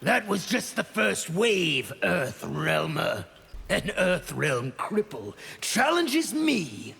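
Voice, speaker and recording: An actor playing a proud, battle-hungry soldier in a video game, haughty and deliberate, with a very gravelly voice. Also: male voice, sits high, big pitch swings, sharp tone, long reverb tail, heavily acted. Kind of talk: video game villain banter.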